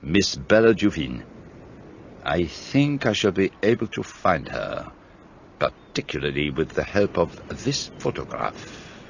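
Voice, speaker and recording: A man's voice: low voice, very animated, slow pace, gravelly, heavily acted. An actor playing an elderly Belgian detective with a French-tinged Belgian accent, precise and theatrical, explaining his deductions. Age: older. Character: fussy elderly Belgian male detective, self-assured. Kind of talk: radio play acting. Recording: dull lo-fi recording, faint hiss.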